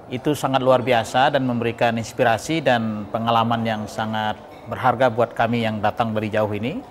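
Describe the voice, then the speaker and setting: Male guest, mid pitch, earnest, wordy explanations, reverberant hall. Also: fairly even intonation, moderate pace. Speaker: interview guest. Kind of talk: explanatory interview answers. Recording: echoing hall, noisy background.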